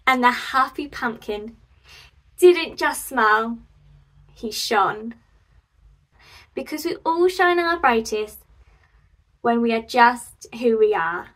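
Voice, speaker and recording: A woman in her twenties reading a picture book aloud to preschoolers, animated and expressive. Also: on the high side, slow, pauses often, far from the mic. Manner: animated, expressive, addressing young children. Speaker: children's storyteller and host.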